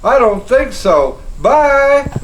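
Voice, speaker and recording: A man voicing a boastful, excitable young colonial sergeant who blusters and panics by turns, pitched well above his own voice. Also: unhurried, a little hiss or hum, lightly acted. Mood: taunting. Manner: frantic, blustering, brash.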